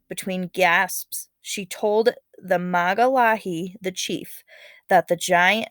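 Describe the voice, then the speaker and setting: A woman's voice: mid-range voice, a bit bright, no echo, studio quality. A woman speaking as a teacher, reading a story aloud expressively and talking to students in an instructive, casual way. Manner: instructive, checks in with okay and all right.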